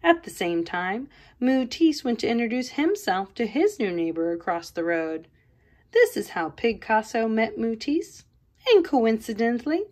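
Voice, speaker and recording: A woman art educator reading a children's picture book aloud, expressive and playful. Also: a little high. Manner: expressive storybook reading with playful voices for quotes.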